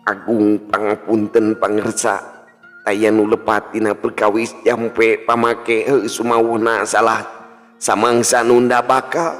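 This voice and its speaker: Man doing a humble old shaman, raised pitch, reverberant. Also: flat delivery, takes it slowly, fairly bright tone. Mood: apologetic. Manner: deferential, formal. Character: humble old shaman grandfather, apologetic and puzzled. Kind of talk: dramatised Sundanese folk tale. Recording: long reverb, music behind.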